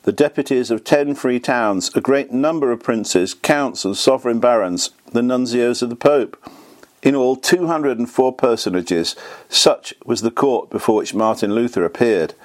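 A slightly hoarse man reading a history book aloud at a steady, measured pace. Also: medium pitch. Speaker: reader.

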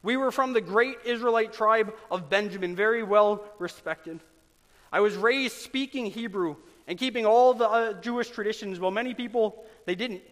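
Pastor, male, high voice; earnest, emphatic sermon delivery, church hall reverb. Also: fairly bright tone. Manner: earnest, emphatic preaching with dramatic pauses.

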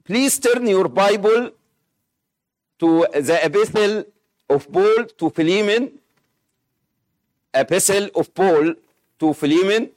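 Man, Egyptian accent, middle-aged, slow halting sermon, fairly high-pitched. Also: animated. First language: Arabic. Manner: halting, many pauses and fillers. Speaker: missionary preacher. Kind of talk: sermon with scripture reading and prayer.

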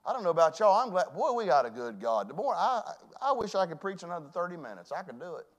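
A man preaching a sermon in church, swinging between loud calls and hushed, earnest asides. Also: sits high, big pitch swings, fast talker. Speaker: pastor.

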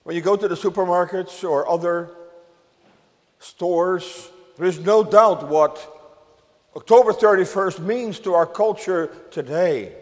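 An older man from the Netherlands preaching a sermon to a congregation, measured, with emphatic rises on key words. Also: high-pitched, long reverb tail. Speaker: pastor.

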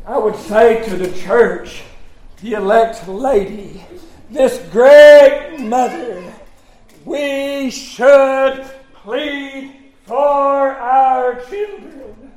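Guy preacher, really high voice, fiery revival sermon, drags words out, echoey room. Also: a little mellow. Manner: emphatic, drawn-out words with frequent "uh" punctuating phrases. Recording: echoey, roomy live sound.

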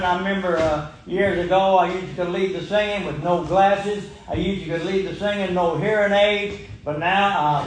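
A man's voice: sits high, slight background noise. A man, preaching a folksy sermon and calling out for amens. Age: 70s. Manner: folksy, emphatic, calls for amens. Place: church sanctuary. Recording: very echoey church room.

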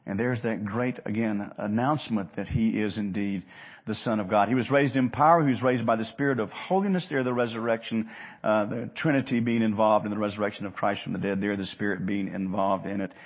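Male preacher, husky mid-pitched voice, earnest, emphatic, dynamic from hushed to loud, repeats phrases, slightly muffled recording. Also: brisk. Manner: rhetorical questions and repeated phrases. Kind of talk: expository sermon.

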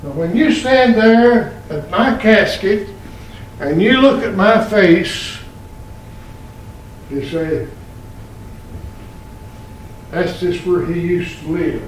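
An old man in his eighties preaching a sermon in a church, quoting scripture in slow, emphatic phrases. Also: sits high, expressive, slight background noise. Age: 80s. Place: church sanctuary. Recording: very echoey church from far off.

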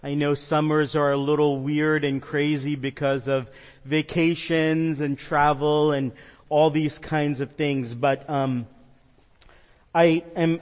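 A man's voice: a little high, fairly even intonation, takes it slowly, big-room sound. A man from the New York metro area preaching a sermon as a pastor, earnest and conversational with some fillers. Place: church sanctuary.

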